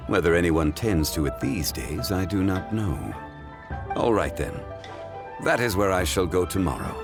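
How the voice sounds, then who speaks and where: A man voicing an ancient, wise, scholarly gentleman in a video game cutscene, speaking in a formal, measured and reflective way. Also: low-pitched. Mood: musing. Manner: formal, measured, eloquent, contemplative.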